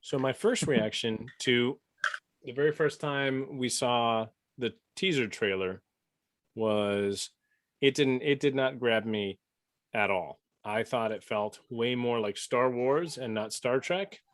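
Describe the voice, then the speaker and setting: Male talk-show panelist, informal opinion-giving, average pitch, dry sound. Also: slow pace, no room sound. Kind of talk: casual chat.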